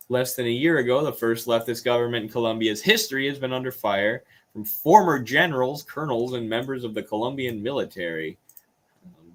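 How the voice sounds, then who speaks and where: A man with an American accent hosting a political livestream, explaining news in an earnest, informal way with halting phrasing and some reading aloud. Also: medium pitch, a bit bright.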